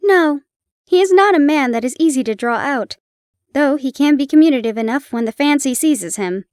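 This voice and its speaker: A woman's voice: high-pitched, animated, brisk, a little mellow, no echo, studio quality. A woman voicing a friendly, chatty young male hospital dresser in a read-aloud story.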